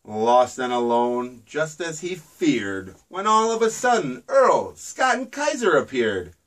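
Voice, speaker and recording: Slow sing-song man reading a picture book. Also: mid-range voice, sing-song, fairly bright tone, far from the mic. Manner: sing-song storybook delivery. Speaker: children's book author.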